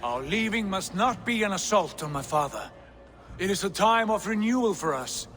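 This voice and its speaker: A high-voiced, proud Viking prince. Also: male voice, animated, moderate pace, a bit bright. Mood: firm. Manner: declamatory, formal, solemn. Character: proud, ambitious Viking prince rallying his clan. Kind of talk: dramatic game cutscene acting. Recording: orchestral music behind the voice.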